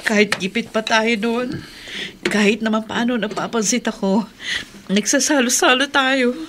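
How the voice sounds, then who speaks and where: A woman acting a sorrowful, forgiving, soft-hearted mother in a radio drama. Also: on the high side, moderate pace, a little hiss or hum, close to an everyday voice.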